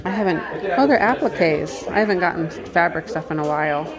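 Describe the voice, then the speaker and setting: A woman's voice: fairly low pitch, animated, brisk, over music, low-quality recording. A woman vlogger giving casual commentary as she shops, half murmuring to herself while browsing. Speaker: vlogger, antiques reseller. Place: house and garage at estate sales. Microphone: handheld phone or camera.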